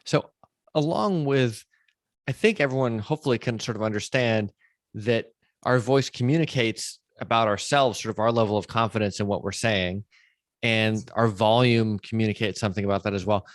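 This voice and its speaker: Low-voiced man hosting an interview. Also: dry recording. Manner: recaps points and checks understanding. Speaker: podcast host, interviewer. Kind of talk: conversational interviewing.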